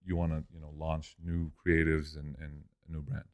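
A man's voice: extremely low pitch, level tone, moderate pace, darkish tone. A young man from Lebanon, a guest on a podcast, talking casually about business and often saying "dude" and "man". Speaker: guest, e-commerce entrepreneur.

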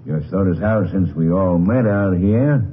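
An actor playing a falsely friendly, menacing rural gang leader in a 1950s radio western, drawling with mock politeness. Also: male voice, sits low, animated, a little slow. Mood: mock-friendly menace. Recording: muffled, noisy 1950s radio recording.

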